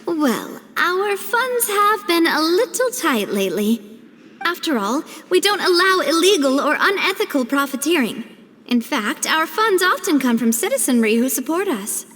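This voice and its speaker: An actress playing a young female syndicate boss in a video game, composed, earnest and guarded, speaking in a measured, polite way. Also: high-pitched, big pitch swings, fairly bright tone, a little hiss or hum. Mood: wistful, humble. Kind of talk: video game cutscene acting. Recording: long reverb, music behind.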